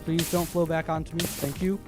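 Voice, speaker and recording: A man with a very high voice giving casual, chatty live stream commentary as he plays a video game. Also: a little fast, over music. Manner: chatty, self-narrating while playing, easily animated.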